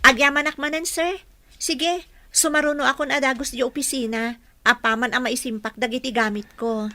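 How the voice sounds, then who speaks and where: A woman's voice: sits high, fairly even intonation, normal speed, sharp tone. A woman playing a polite, deferential young office employee in an audio drama. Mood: deferential, shy. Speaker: voice actor. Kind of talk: radio-style drama acting. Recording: clearly noisy background ambience.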